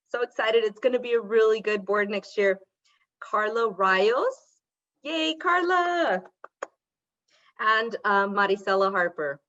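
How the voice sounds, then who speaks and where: A woman giving a committee report and reading out figures at a virtual meeting, in a cheerful, steady way. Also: medium pitch, dull audio.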